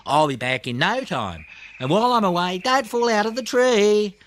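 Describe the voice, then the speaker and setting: Man playing a cheeky young koala boy, raised pitch. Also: big pitch swings, normal speed, a bit bright, a little hiss or hum. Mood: breezy. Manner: cocky, plucky. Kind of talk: children's audiobook character voice.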